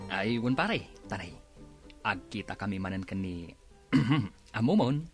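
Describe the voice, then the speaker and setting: A man's voice: fairly low pitch, animated, unhurried, faint music behind. A man playing an evasive, irritable husband in an audio drama, defensive and grumbling. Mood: confiding. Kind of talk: Filipino audio drama acting.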